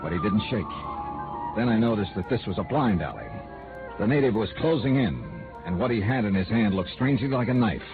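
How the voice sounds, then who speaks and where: An actor playing a wry, hard-boiled insurance investigator, narrating in dry, clipped 1950s radio noir style. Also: male voice, lowish voice, expressive, a little fast, close to an everyday voice. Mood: tense, wary. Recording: tinny old radio recording with music.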